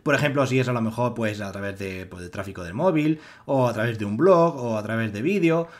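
Fast-talking rambling man with a Spanish accent. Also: mid-range voice, expressive. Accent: Spanish from Spain. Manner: rambling, heavy use of filler "pues" and restarts. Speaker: online money-making tutorial host. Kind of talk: screen-recorded walkthrough narration.

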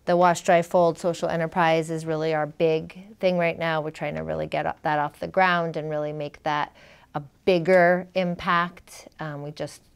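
A woman in her thirties speaks in a low-pitched voice with noticeable fry, yet smooth and clear. She talks casually as a guest in a studio interview. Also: flat delivery, normal speed. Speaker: business co-owner, interview guest. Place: small TV studio. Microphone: lapel mic.

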